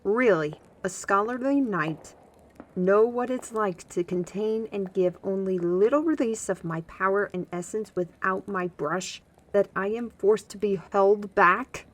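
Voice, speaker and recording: Female, medium pitch: actress as proud young fantasy artist, indignant, lofty, audio drama. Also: measured pace, close to an everyday voice, rough recording. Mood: indignant frustration.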